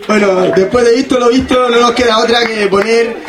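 A man's voice: extremely high pitch, fairly even intonation, a little fast, background noise. A man hosting an informal, humorous radio show, rambling with hesitant phrasing. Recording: roomy, echoing voice recording.

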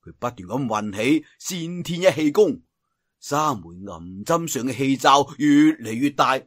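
A man's voice: a little high, a bit bright. A man with a Cantonese accent telling a martial arts story theatrically, drawing out phrases for emphasis. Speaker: audiobook storyteller.

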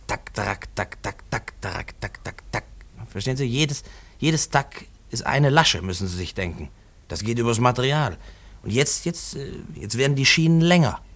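A man acting an eager, plain-spoken railway worker in a 1950s radio play, explaining keenly with halting repeats. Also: fairly low pitch, a bit bright, heavily acted. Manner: halting, repetitive, keen explaining. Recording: 1950s radio drama recording.

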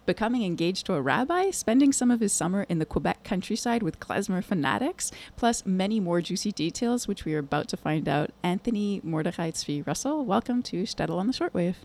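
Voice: medium pitch, lively intonation, brisk, few pauses, a little mellow. Curious radio host asking questions. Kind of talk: interview hosting.

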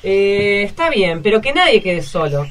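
A woman playing a calm, reassuring teacher in an amateur school radio play. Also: medium pitch, a little slow, slight background noise. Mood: organising.

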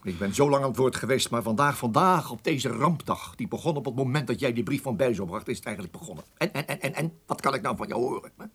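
A man's voice: mid-range voice, expressive, fast, hardly any pauses, a bit bright, breathy edge. An actor playing an exasperated, sarcastic male boss who rants and stammers with indignation in a radio play.

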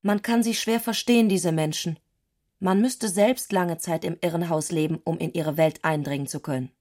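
A woman's voice: on the low side, normal speed, a little mellow, lightly acted. An actress playing an intense, headstrong and eccentric young woman in a radio play, speaking in an earnest, insistent manner.

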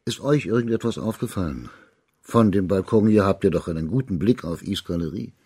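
Courteous investigating judge, lowish husky voice, formal, measured, probing, radio drama. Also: male voice, expressive, warm tone, dry recording, studio quality, full character voice. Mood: grave, probing. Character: dignified high judge of old China, courteous, probing investigator. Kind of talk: German radio drama acting.

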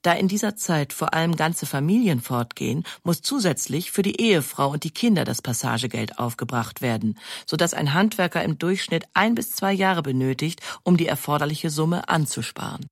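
A woman reading scripted documentary narration in a factual, measured manner. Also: low voice, expressive, slightly rough, dry recording, clean studio sound.

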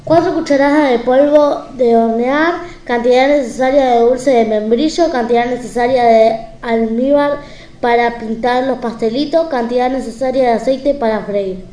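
A woman's voice: a little high, normal speed, warm tone. A woman hosting a radio show, reading out a recipe. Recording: echoey room.

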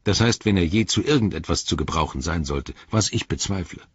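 A man's voice: low-pitched, expressive, a little fast, slightly rough, no room sound, lo-fi sound, full character voice. A man voicing a plain-spoken, loyal but suspicious halfling servant for an audiobook, in a homely, grumbling manner. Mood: grumbling, doubtful. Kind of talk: audiobook character voice.

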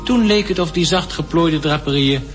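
A man's voice: on the high side, moderate pace, soft background music, natural voice. An actor playing an observant, poetic polar explorer, narrating his journal lyrically in a radio drama. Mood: awed. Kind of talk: radio drama diary monologue.

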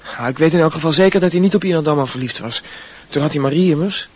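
High-voiced earnest schoolboy. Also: male voice, fast talker, darkish tone, husky. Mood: earnest, puzzled. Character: earnest, brooding, stubborn teenage schoolboy. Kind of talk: radio drama acting.

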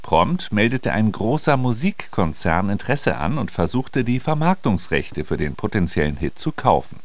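A man's voice: on the low side, big pitch swings, normal speed. A male radio host reading a scripted introduction to a programme.

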